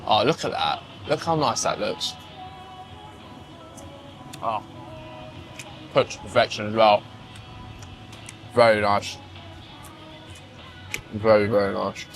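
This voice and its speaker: A man's voice: on the low side, takes it slowly, creaky, long pauses, soft background music, low-quality recording. A man talking casually to camera as a fitness vlogger, chatty and blunt, swearing now and then. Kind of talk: casual vlog chat.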